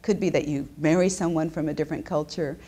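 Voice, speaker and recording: A woman's voice: low voice, steady tone, fast, dark tone. A woman in her fifties who grew up in Japan, a business school professor answering interview questions in an explanatory way, often restarting her sentences. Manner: explanatory, with frequent restarts and fillers. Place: indoor office.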